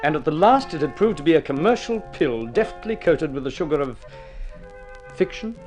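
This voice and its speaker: An actor playing a polite, worldly, wryly long-suffering gentleman in a vintage radio drama. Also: on the high side, expressive, moderate pace, close to an everyday voice. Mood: wry resignation. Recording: vintage radio recording with music.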